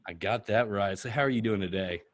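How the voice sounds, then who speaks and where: A man's voice: on the low side, fairly quick. A man hosting a podcast interview, hesitant and self-admittedly nervous, restarting sentences often. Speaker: podcast host.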